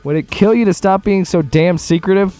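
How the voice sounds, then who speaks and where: A man's voice: sits high, fairly quick, dark tone, clean tone, soft background music, natural voice. A man playing a blunt, exasperated intelligence agency director in conversational audio drama acting. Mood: irritated, blunt.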